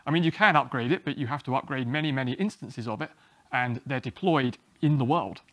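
A man, giving a relaxed, self-deprecating technical talk at a small meetup, often restarting his sentences. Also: mid-range voice, fairly quick, no room sound. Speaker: conference speaker. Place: small meeting room, indoors.

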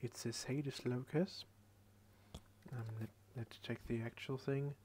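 A tired young man hosting a late-night ASMR video, rambling in a soft half-whisper into a headset microphone at his computer desk. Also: medium pitch, fairly even intonation, unhurried, slight background noise. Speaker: channel host.

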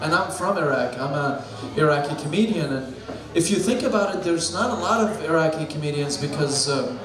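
A man's voice: on the high side, brisk. Young male comic in an echoey bar. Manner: deadpan dark jokes, chats with the crowd. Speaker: stand-up comedian. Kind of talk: stand-up set. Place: small bar stage with a live crowd. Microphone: handheld stage mic. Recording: echoey room with loud crowd.

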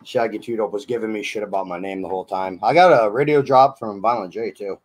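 A young man hosting a casual online radio interview from a small room, his voice hoarse and husky from illness, laid-back and swearing freely. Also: on the low side, fast. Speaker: radio show host. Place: small dim room with colored lights.

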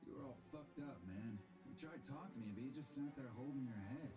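A medium-pitched man's voice , acted as a sardonic young buddy in a game drama. He talks bluntly and casually with a dry needle in it, over clearly audible music. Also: fairly quick, lightly acted. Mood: dismissive. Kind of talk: English video game voice acting. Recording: game cutscene with music behind.

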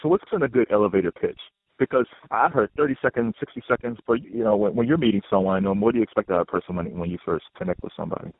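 A man's voice: on the low side, very fast, dry recording. A man hosting an interview, asking chatty, self-deprecating questions. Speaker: host interviewer. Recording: tinny phone-like audio.

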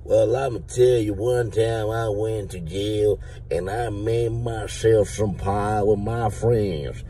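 A man's voice: on the low side, steady tone, slow, mellow, distant mic, a little hiss or hum. A man in his thirties, seated in a car and talking into a phone, voicing a blustering redneck who claims to be a veteran, with a put-on Southern drawl and rambling, absurd talk. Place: inside a parked car. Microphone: phone held at the mouth.

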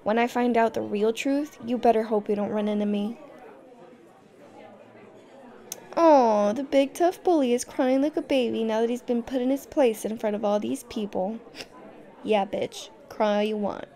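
A woman's voice: a little high, normal speed, mellow, lightly acted. A woman playing a tough, fiercely protective young girlfriend in an audio roleplay, speaking directly to the listener. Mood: defiant, confrontational.

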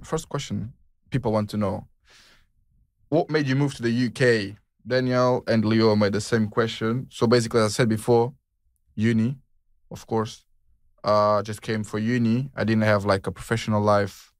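A young man from Portugal hosts his podcast in a loose, rambling way, full of slang and fillers. His voice sits a little low. The sound is dry and close. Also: no echo. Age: 20s. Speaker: podcast host. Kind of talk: casual chat.

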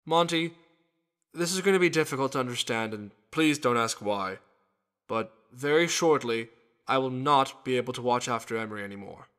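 A man's voice: mid-range voice, animated, moderate pace, a bit bright, clean tone, lightly acted. A man voicing a suave, scheming immortal god in a cartoon, with a polite salesman's delivery. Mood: grave, weary. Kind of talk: animated web series dialogue.

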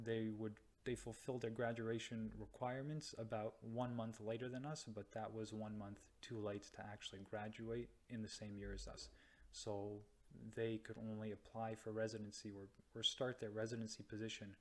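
Slow, hesitant young man, flat delivery. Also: lowish voice. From United States or Canada. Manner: slow, hesitant, many ums and restarts. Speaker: radiology resident. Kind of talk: slide-based explainer. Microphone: voice-over to slides.

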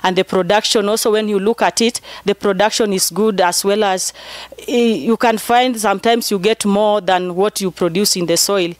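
A woman's voice: mid-range voice, fairly even intonation, normal speed, no room sound. Kenyan woman explaining, dry close sound. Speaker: PhD researcher and nun. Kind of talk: explanatory interview to camera. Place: inside a greenhouse.

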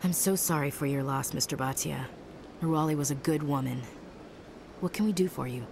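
A woman's voice: low-pitched, a little fast, vocal fry, background noise. A woman playing a direct yet sympathetic marine gunnery chief in a video game.

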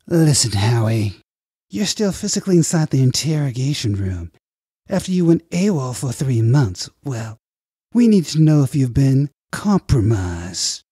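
A man voicing a manipulative, villainous male director in an audiobook, condescending and coaxing, with drawn-out phrasing. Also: mid-range voice, big pitch swings, mellow, breathy edge, no room sound, studio quality, pitched above the performer's own voice.

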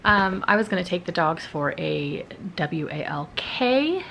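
A woman's voice: lowish voice, expressive, unhurried, vocal fry, fairly bright tone, a little hiss or hum. Chatty giggly woman vlogging to camera. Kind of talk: casual vlog chat to camera. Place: bedroom in a house. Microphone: handheld camera at arm's length.

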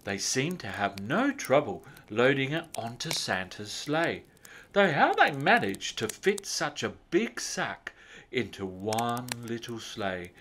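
A man reading a children's story as narrator, in a measured, expressive way. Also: mid-range voice, slight background noise.

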